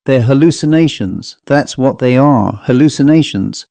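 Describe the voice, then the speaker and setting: A man's voice: medium pitch, expressive, moderate pace, creaky, warm tone, dry recording. A man plays a rabbit-faced little know-it-all from a storybook. He is earnest and insistent, repeating himself to make his point, recorded dry.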